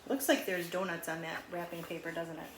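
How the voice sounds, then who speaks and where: A woman's voice: lowish voice, a little fast. A woman reading a picture book aloud to children in a chatty way, explaining words and pictures and asking questions along the way. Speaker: read-aloud storyteller. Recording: roomy echo, faint background noise.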